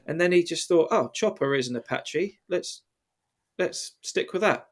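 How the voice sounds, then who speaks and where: A man's voice: on the high side, lively intonation, normal speed. A British man chatting casually as a podcast guest, telling self-deprecating anecdotes in an informal way. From Britain. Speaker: podcast guest, professional mountain biker. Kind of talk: casual interview chat.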